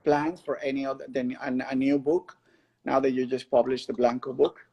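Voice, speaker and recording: A man hosting a casual interview as a curator, putting questions in a halting way and rephrasing as he goes. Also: mid-range voice, level tone, dark tone. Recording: clearly noisy, with clicks.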